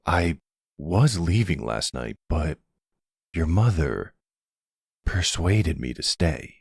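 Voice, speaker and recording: A man playing a smug, flirtatious middle-aged mafia boss in an ASMR roleplay drama, sweet-talking and gravelly. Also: low voice, very animated, slow, dry recording, studio quality, full character voice. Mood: smooth, smug.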